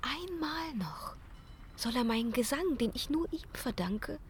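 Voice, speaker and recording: Frightened, high-voiced young opera singer. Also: female voice, animated, unhurried, slight background noise. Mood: fearful, torn. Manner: breathy hushed urgency, breaking into sharp commands. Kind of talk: German radio play acting.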